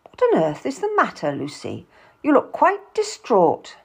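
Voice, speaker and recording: A woman's voice: mid-range voice, huge pitch swings, measured pace, vocal fry, husky, heavily acted. A woman voicing a composed, sceptical genteel lady, cool and unconvinced, in an audiobook story. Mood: questioning.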